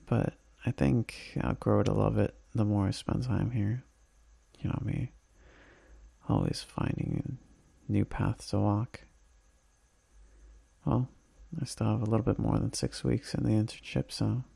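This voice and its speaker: A man playing a homesick, tender boyfriend in an intimate ASMR roleplay voicemail, speaking softly and breathily with halting pauses and sighs. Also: lowish voice, creaky, breathy edge, no room sound, heavily acted.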